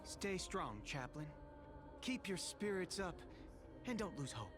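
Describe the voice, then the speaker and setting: A man's voice: sits high, sing-song, unhurried, music in the background. A man voicing a dutiful, earnest young apprentice priest in a video game, speaking deferentially. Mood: encouraging.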